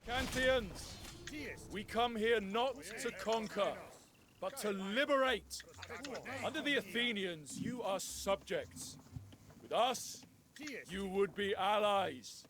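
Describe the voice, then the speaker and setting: An actor playing an eloquent, commanding Spartan general who speaks in formal, persuasive oratory in a game cutscene. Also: male voice, high-pitched, slow, crowd noise. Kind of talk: dramatic video game cutscene acting.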